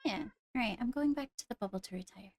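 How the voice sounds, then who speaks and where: A woman's voice: on the high side, fast, dry recording. A woman playing a reserved, polite woman at a fantasy court in a casual Minecraft roleplay, giving brief, subdued replies. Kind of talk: casual improvised roleplay dialogue.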